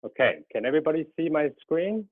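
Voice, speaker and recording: A man's voice: a little high, very animated, fast, dry recording. A man in his thirties from Taiwan whose first language is Mandarin, giving a guest lecture on a video call, hesitant with many ums and restarts. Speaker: guest lecturer, graphic designer. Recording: tinny dry video-call audio.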